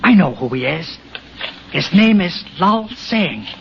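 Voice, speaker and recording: An actor playing an earnest, alarmed ship's captain in an old-time radio drama. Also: male voice, sits high, sing-song, slow, vocal fry, heavily acted. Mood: earnest, concerned. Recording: dull, noisy old radio recording.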